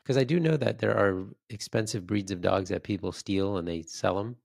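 A man's voice: lowish voice, normal speed, creaky, a little mellow, no room sound. A middle-aged man co-hosting a podcast who listens closely, gives short replies and makes dry jokes. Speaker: podcast co-host. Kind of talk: casual chat. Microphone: studio mic on boom, video call.

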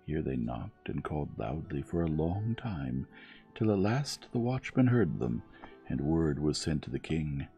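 Male bedtime story reader, very low and warm, soft measured delivery. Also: big pitch swings, creaky, highs cut off. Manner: soft, measured, soothing reading. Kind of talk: gentle scripted storybook narration. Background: music.

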